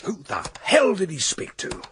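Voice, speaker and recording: A man's voice: sits high, huge pitch swings, moderate pace, a bit bright, a little hiss or hum, exaggerated voice. An actor playing an agitated, irritable man under pressure in a radio drama, exasperated and curt. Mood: angry, agitated.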